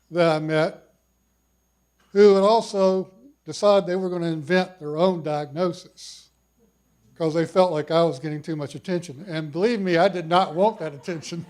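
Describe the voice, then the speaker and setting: A man's voice: sits high, darkish tone. An older man with a big voice, telling his own cancer story in a folksy, self-deprecating way to a conference audience. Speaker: cancer survivor speaker. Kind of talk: personal story talk. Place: conference hall.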